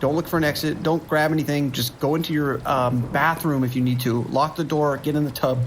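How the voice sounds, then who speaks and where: A man's voice: on the high side, fairly even intonation, brisk, a little hiss or hum, natural voice. A man playing a desperate police dispatcher under siege in a horror audio drama, talking in urgent, clipped, commanding emergency-radio style.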